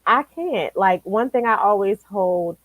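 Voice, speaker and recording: Woman with a dry, mid-pitched voice. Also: animated, a little slow, fairly bright tone, dry recording, poor audio. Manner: affirming, reflective. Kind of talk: casual conversation.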